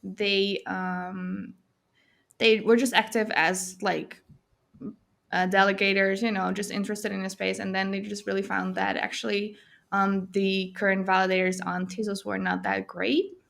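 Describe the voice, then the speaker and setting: Slow, hesitant Dutch-accented woman. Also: mid-range voice, little pitch movement, creaky, a bit bright. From Netherlands. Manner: hesitant, many fillers, laughs often, switches between Spanish and English. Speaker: guest. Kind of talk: casual interview.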